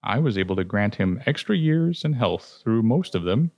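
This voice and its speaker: A man's voice: lowish voice, very animated, moderate pace, gravelly, darkish tone, no echo, muffled recording, voice pushed up, heavily acted. A man voicing a jackal-headed male death god who whimpers defensively. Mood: plaintive.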